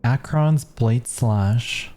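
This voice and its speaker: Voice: medium pitch, slow, mellow. A streamer giving casual reaction commentary on a game, murmuring offhandedly and reading dialogue aloud.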